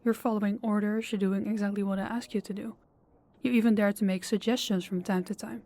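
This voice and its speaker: A woman's voice: medium pitch, steady tone, dark tone, natural voice. Poised, controlling woman boss, acted by a woman. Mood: coolly reassuring. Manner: formal, measured, condescendingly sweet. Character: poised, controlling female boss with veiled menace, affectionate toward employee. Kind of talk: audio roleplay acting.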